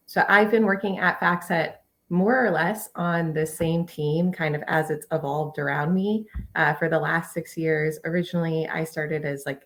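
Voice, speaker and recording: A woman in her late twenties introducing herself as a panelist on a video call, casual with hesitant fillers. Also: low voice. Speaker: panelist, software engineering manager.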